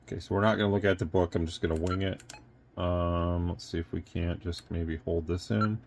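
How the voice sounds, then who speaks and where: A man's voice: deep voice, fairly even intonation, a little fast, creaky, background noise. A man giving a casual, unscripted product demonstration as a reviewer and seller, rambling and thinking aloud as he goes.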